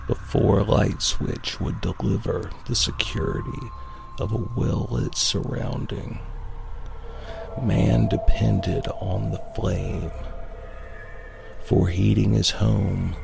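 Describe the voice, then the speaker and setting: An ominous narrator opens a scary radio play, acted by a man. The delivery is solemn and scripted, pitched low and measured slowly, with faint music underneath. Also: gravelly, darkish tone, heavily acted.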